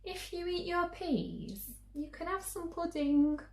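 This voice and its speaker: In a roomy echo, a woman plays a storybook mum desperate to win her child over. The voice is high and swoops eagerly as it coaxes and pleads, piling promise upon promise. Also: sing-song, takes it slowly, vocal fry, exaggerated voice. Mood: coaxing. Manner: coaxing, gushing through escalating lists.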